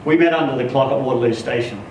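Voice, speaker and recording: A man giving an expressive live reading of a first-person literary story, acting out the dialogue as he goes. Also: medium pitch, fast. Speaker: story reader. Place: live reading event in an echoey room. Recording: echoey room, distant mic, noisy.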